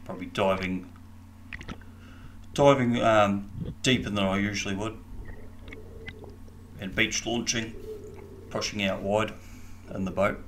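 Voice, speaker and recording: A man's voice: on the low side, steady tone, slow, long pauses, a bit bright, a little hiss or hum. A man with a New Zealand accent giving casual voice-over commentary as a diving vlogger. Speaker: vlog host and diver.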